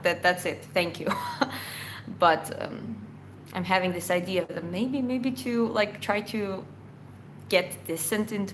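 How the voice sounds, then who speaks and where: A woman's voice: fairly low pitch, a little hiss or hum. A Georgian woman with an Eastern European accent, whose first language is Georgian, hosting a casual chat Q&A and answering viewer questions in a loose, rambling way with lots of fillers and restarts.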